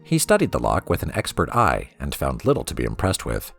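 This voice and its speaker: A man reading a story aloud as a storybook narrator, with a theatrical, expressive delivery. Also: a bass voice, brisk, vocal fry, husky, faint music behind. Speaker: lore reader for a gaming let's play.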